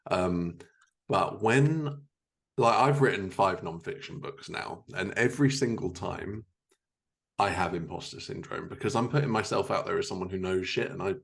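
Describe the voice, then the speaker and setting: A man's voice: deep voice, vocal fry. A man hosting a podcast as a fellow author, chatting casually in a self-deprecating, irreverent, mildly sweary way. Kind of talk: casual chat, interviewing.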